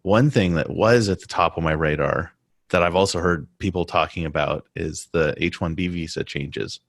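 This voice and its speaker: An American man co-hosting a casual podcast, explaining things in a halting way with lots of fillers and restarts. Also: low voice, vocal fry, no room sound, studio quality. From United States. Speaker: podcast co-host. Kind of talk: casual conversation.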